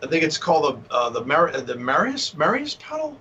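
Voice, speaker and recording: A man's voice: on the high side, huge pitch swings, brisk, bright, forceful tone. A man with a Boston accent chatting casually on a panel, enthusiastic and joking. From Massachusetts, United States. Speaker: panelist, synth musician. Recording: dull lo-fi call, audible background noise.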